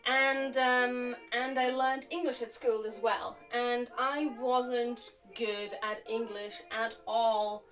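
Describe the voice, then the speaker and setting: A woman's voice: fairly high pitch, takes it slowly, hardly any pauses. A young woman, a native speaker of Slovak who grew up in Germany, chatting casually and reflectively while crafting on a live stream, with a slightly sore throat. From Slovakia, raised in Germany. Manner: relaxed, reflective, chatty with frequent fillers. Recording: distant mic with faint music.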